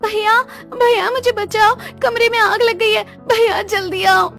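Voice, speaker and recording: A woman's voice: very high-pitched, level tone, measured pace, a bit bright, faint music behind, pitched above the performer's own voice, exaggerated voice. A woman voicing a spoiled, envious young sister in a cartoon, whiny and dramatic. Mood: panicked pleading. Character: spoiled, envious young sister craving her brother's affection.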